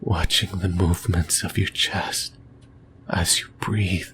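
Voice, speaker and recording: A man playing an obsessive, lonely sleep paralysis demon in a breathy, intimate whisper for an ASMR horror roleplay, hesitant and rambling. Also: low voice, steady tone, slow, a bit bright. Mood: yearning, possessive.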